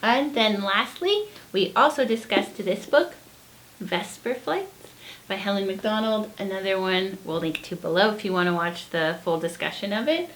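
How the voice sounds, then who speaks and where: A woman's voice: medium pitch, lively intonation, moderate pace, fairly bright tone, poor audio. A woman co-hosting a book vlog, explaining her recommendations in a casual, earnest and appreciative way.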